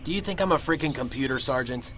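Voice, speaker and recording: A man's voice: mid-range voice, animated, fast talker, a bit bright, faint music behind, close to an everyday voice. A man playing a cynical, sarcastic detective in an amateur radio drama, with a blunt, grumbling delivery. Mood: irritated. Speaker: actor.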